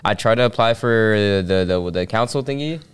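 A man's voice: fairly low pitch, lively intonation, normal speed, smooth. A young man co-hosting a podcast, bantering in a loose, slangy way. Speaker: podcast co-host. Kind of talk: casual chat.

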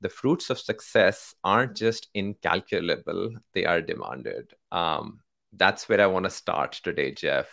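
A man's voice: low voice, lively intonation, a little slow, gravelly, fairly bright tone. A man from Pakistan hosting a casual conversation, hesitant, with many fillers and restarts. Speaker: host.

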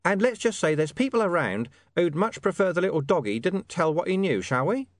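A man's voice: high-pitched, sing-song, fairly quick, dry recording, pitched above the performer's own voice. A man voicing a shady, streetwise talking dog who poses as a mysterious informant in an audiobook story. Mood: sly, conspiratorial. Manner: streetwise, evasive, menacing slang.

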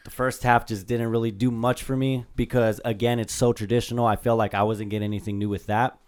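A young man hosting a casual music podcast, his voice slightly hoarse, laid-back and easygoing. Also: medium pitch, flat delivery, brisk, a little mellow. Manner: laid-back, laughs easily. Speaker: podcast host. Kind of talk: casual album review chat.

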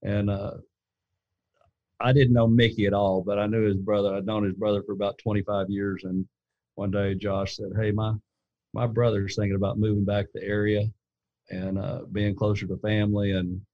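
Deep, flat-voiced man with hollow tone. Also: moderate pace, no echo, dull audio. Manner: rambling, full of restarts and fillers. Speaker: gun company leader. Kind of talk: casual interview storytelling.

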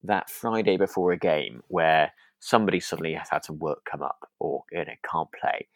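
A man's voice: very deep voice, moderate pace, vocal fry, no room sound. A man co-hosting a podcast, chatting casually about a radio drama and circling back over his words as he thinks. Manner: hesitant, repeats himself as he thinks. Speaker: podcast co-host.

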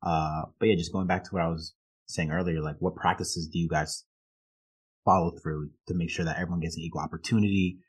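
Podcast interviewer: man, deep and slightly rough voice, wordy, casual questioning style. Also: little pitch movement, brisk. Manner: wordy, rephrasing questions as he goes. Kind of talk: conversational interviewing.

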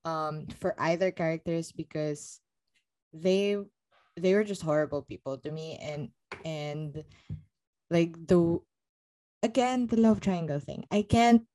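A woman's voice: deep voice, takes it slowly, dark tone. A young woman co-hosting a podcast, giving exasperated, opinionated takes in a casual book discussion. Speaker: podcast co-host.

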